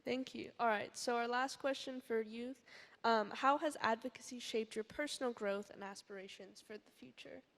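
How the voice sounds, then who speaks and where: High, creaky-voiced teenage woman. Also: moderate pace, fairly bright tone, a little hiss or hum. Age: teens. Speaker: panel facilitator. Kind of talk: moderating a panel discussion.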